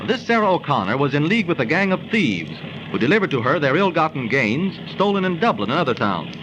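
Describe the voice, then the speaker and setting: Highish, animated learned skeptic, noisy recording. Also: male voice, fast, muffled recording. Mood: storytelling. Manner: slightly pompous, storytelling. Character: wry, skeptical, learned friend telling a legend. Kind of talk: 1930s radio acting. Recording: noisy old 1930s radio recording.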